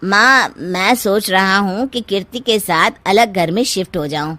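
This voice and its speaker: A woman's voice: medium pitch, a little slow, pushed and bright, no room sound. Woman doing a meek henpecked husband in a cartoon, hesitant, dry sound. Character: mild henpecked adult son and husband.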